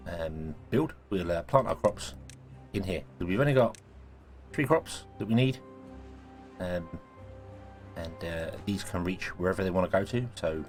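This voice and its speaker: A man, casually thinking aloud as he plays a building game, his voice a little croaky from a cold. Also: low voice, darkish tone. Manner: thinking aloud, rambling, self-correcting. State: croaky, sneezy voice. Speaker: gaming video host. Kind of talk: casual let's-play commentary.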